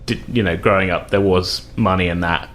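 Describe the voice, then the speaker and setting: Male tabletop player, lowish voice, loose rambling delivery with stops and starts, faint noise behind him. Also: steady tone, a little fast, a bit bright. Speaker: player in a tabletop role-playing game. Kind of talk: casual improvised storytelling at a game table.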